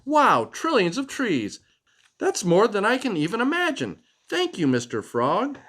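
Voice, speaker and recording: A man's voice: high voice, wildly swooping pitch, unhurried, fairly bright tone, husky, pitched above the performer's own voice, full character voice. A man voicing a small, curious, cheerful butterfly in a children's storybook, asking questions eagerly and politely. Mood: bright curiosity.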